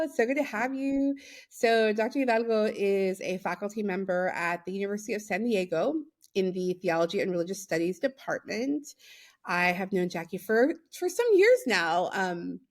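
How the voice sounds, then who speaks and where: A woman hosting a podcast, introducing her guest with laughter and frequent affirming backchannels. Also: mid-range voice. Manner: warm, giggly, many affirming backchannels. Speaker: podcast host. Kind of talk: casual interview.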